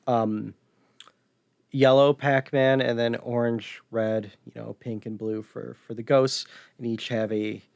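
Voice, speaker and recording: An American man chatting casually as a podcast host, somewhat halting when he describes things. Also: mid-range voice, level tone, vocal fry, darkish tone, clean tone, no echo, clean studio sound. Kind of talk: casual podcast chat about retro gaming.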